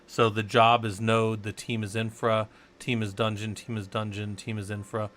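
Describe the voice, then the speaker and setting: A man's voice: on the low side, level tone, moderate pace, fairly bright tone. A man from the Southwest United States rambling and thinking aloud while teaching a casual live-stream tech tutorial, chatting with viewers as he works.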